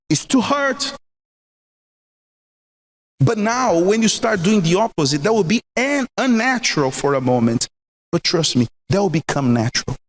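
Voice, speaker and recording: A man from Brazil with a strong Brazilian accent, a native Portuguese speaker, giving marriage advice. He is emphatic, repeats his points and keeps asking the listener questions. His voice is high and swings widely, and it rings in a reverberant room. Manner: emphatic, repetitive, rhetorical questions. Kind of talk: recorded motivational talk.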